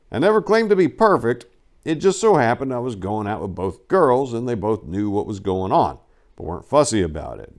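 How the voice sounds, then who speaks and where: Man, fairly low pitch, book narrator, dramatic first-person reading, emphatic phrasing. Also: darkish tone.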